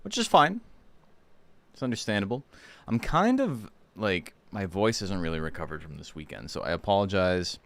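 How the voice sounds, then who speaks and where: Man with a very low voice, hoarse, smooth resonant tone, loose rambling stream chat. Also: normal speed. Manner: rambling, relaxed, full of fillers. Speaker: streamer and tournament host. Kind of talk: casual livestream chat.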